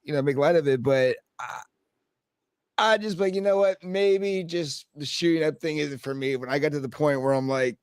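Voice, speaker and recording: Male, 30s, casual podcast host, animated, swears, laughs a lot, higher voice, dry. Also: normal speed, clean tone, dry recording. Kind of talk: casual chat.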